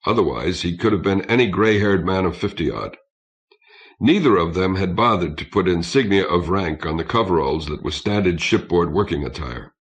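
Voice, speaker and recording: A man reading science fiction as a scripted audiobook narration, in a measured, literary way. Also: sits low, animated.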